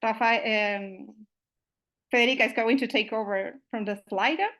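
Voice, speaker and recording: A woman's voice: a little high, fairly even intonation, normal speed, a bit bright. A woman from Uruguay, opening an online meetup as its host, friendly and full of "uh" and "I mean" fillers, over a video call microphone.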